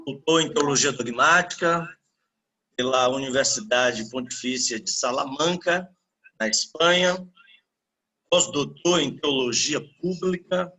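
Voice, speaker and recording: A man's voice: mid-range voice, pushed and bright, husky, low-quality recording. A man hosting an online talk, introducing a guest in a friendly, colloquial way. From Salvador, Brazil. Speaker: host, pastor introducing a guest lecturer. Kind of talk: informal spoken introduction to an online lecture.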